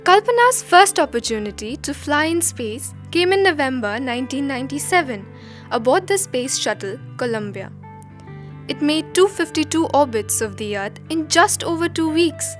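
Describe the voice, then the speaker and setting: Lady narrator reading a documentary script, really high voice, measured and solemn, bit of music underneath. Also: sing-song.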